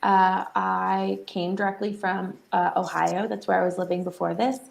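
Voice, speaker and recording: A woman's voice: mid-range voice, flat delivery, moderate pace, gravelly. A young woman, a postgraduate student, speaking candidly and a bit hesitantly on a panel. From United States. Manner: candid, hesitant, many fillers. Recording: echoey room.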